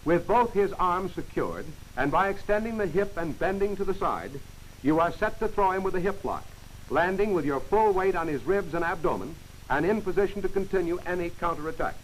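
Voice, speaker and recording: A man giving scripted instructional narration for a training film, in crisp, clipped commands. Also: high-pitched, lively intonation, brisk, rough recording. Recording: hissy old film soundtrack.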